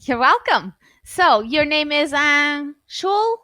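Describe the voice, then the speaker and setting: A woman's voice: sits high, expressive, slow, sharp tone, dry recording, studio quality. A Canadian woman tutoring English over a video call, chatting casually and hesitating often with fillers and restarts. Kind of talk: casual online conversation lesson.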